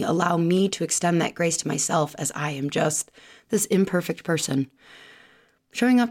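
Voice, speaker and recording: Woman host, chiropractor, casual slangy prayer, unhurried, fry in the voice. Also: lowish voice, a little mellow. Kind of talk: spoken prayer opening a podcast.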